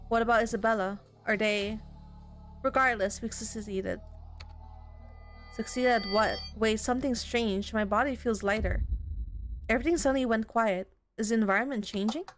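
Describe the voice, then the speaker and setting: A woman reading a visual novel aloud as she plays, narrating expressively and breaking into casual, exclaiming commentary. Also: a little high. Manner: reactive, exclaiming, casual swearing.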